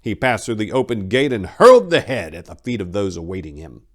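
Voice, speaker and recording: A man hosting and narrating stories in a dramatic, deliberate, theatrical scripted style. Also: lowish voice, very animated, fairly bright tone. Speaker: channel host and story narrator.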